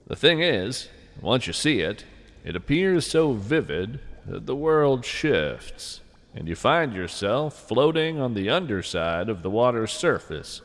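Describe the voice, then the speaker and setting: Slow, low-pitched man narrating with long reverb. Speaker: podcast host and narrator. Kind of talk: scripted narration of whimsical nature fiction and poetry.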